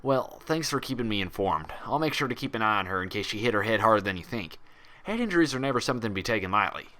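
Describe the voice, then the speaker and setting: A man voicing a stern but caring female pony doctor with an even, measured manner, in a storybook reading. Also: on the low side. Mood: even, guarded.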